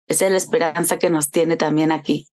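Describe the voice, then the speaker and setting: Woman, interviewer, hesitant fillers, somewhat low pitch. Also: fairly even intonation, fairly quick, darkish tone. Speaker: interviewer host. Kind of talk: interview conversation.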